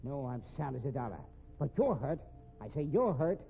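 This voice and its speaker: An actor playing a sly, grudging older working-class blackmailer in 1950s radio drama, folksy and ungrammatical, repeating himself. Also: male voice, mid-range voice, very animated, moderate pace, creaky, faint music behind. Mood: stubborn, gloating. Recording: tinny, noisy vintage radio recording.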